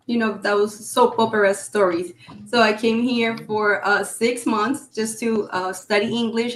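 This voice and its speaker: Colombian woman guest in an echoey room. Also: medium pitch. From Cali, Colombia. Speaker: podcast guest, realtor. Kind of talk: casual interview storytelling.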